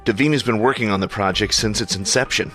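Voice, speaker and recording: Man acting a guarded, low-voiced hero. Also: quick pace, a bit bright, faint music behind, close to an everyday voice. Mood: guarded, formal. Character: guarded, terse male superhero, educated and unnerved. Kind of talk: audio drama acting.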